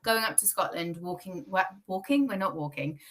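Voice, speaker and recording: Bright-voiced woman guest on a call. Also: on the low side, moderate pace. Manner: lively, laughs often, self-correcting. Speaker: guest, founder of a communications agency. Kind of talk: casual podcast conversation. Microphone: video call.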